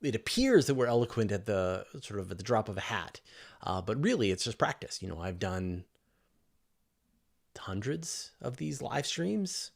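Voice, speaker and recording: Guy with a lowish voice doing a casual livestream q&a, keen explainer, thinks out loud as he goes. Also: sing-song, moderate pace, creaky. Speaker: host of a space Q&A livestream. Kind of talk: casual live question-and-answer chat.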